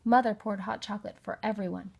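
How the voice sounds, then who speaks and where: A woman's voice: mid-range voice, steady tone, fast talker, gravelly, darkish tone, slight background noise. A woman narrating a children's story from a script, as an audiobook reader.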